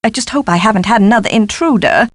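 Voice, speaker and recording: A woman's voice: medium pitch, animated, quick pace, no echo, clean studio sound, natural voice. An actress playing an eccentric, fussy female scholar in a video game, with a dramatic, flowery and emphatic delivery. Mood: conspiratorial.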